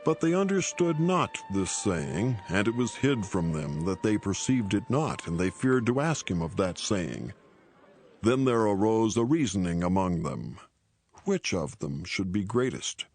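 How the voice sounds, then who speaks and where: Scripture narrator, male: lowish voice, measured, solemn, formal delivery with faint music underneath. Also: very animated, darkish tone, breathy edge.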